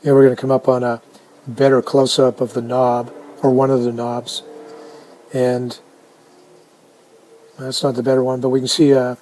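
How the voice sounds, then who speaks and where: A man casually narrating a hands-on restoration video, unhurried and halting as he thinks aloud. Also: mid-range voice, completely flat delivery, dark tone, poor audio. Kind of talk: casual narrated how-to commentary.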